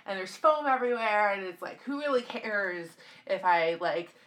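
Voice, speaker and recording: A young woman co-hosting a podcast in casual chat, teasing and chatty, with a loud voice. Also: medium pitch, animated, moderate pace, slight background noise. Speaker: podcast co-host. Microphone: podcast microphone.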